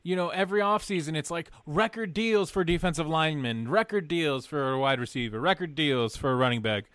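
A man's voice: on the high side, brisk, a bit bright, clear, resonant tone, no echo. Agreeable man in dry close audio. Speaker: podcast co-host. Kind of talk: casual podcast chat.

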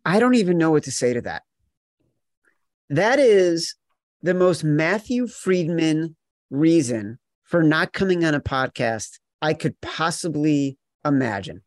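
A man with a fairly high voice hosts a sports betting podcast. He talks with emphatic, mock-exasperated teasing, in a close, dry recording. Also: lively intonation, measured pace, dry recording. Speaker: podcast host.

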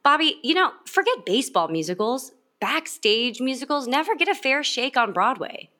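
A woman playing an excitable, gushing theatre writer in an audio comedy drama. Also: high voice, normal speed, full character voice. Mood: flustered, apologetic. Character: excitable theatre writer pitching a backstage musical.

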